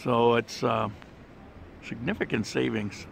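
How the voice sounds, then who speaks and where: A man talking through a casual walking travel vlog in a shopping mall, relaxed and chatty with plenty of fillers. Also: medium pitch, slow, creaky. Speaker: travel vlogger. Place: outdoor mall terrace and shopping center. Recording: handheld camera with street and mall noise.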